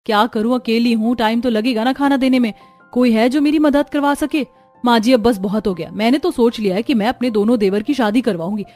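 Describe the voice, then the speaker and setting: A woman playing a harried, caring young housewife and mother in an animated family story. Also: fairly high pitch, a little fast, close to an everyday voice. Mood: weary. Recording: background music under the voice.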